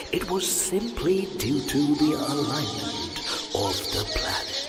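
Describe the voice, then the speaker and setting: A narrator voicing a dramatic storyteller who recounts myths of the gods in a video game. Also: mid-range voice. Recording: music playing clearly behind.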